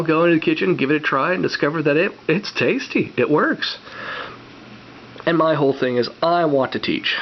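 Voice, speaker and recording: A man hosting a game coding channel, stuffed up from a cold, talking in a meandering casual way with many fillers and restarts. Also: mid-range voice. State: congested from a cold. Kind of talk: casual rambling vlog talk. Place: home room, on camera. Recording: slightly dull recording with faint hiss.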